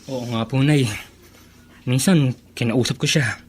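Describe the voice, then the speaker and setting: A man's voice: mid-range voice, steady tone, a little slow, close to an everyday voice. A man playing a respectful, understanding grandson in a Filipino radio drama, polite and reasoning. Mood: concerned, calm.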